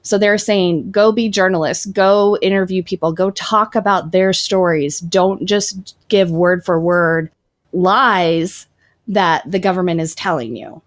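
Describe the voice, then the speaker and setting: Woman co-host, low voice, dry close mic, reads articles aloud with a joking aside. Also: steady tone, unhurried, dry recording. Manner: reads article passages aloud, jokes about her own pronunciation. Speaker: podcast co-host. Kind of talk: casual podcast chat.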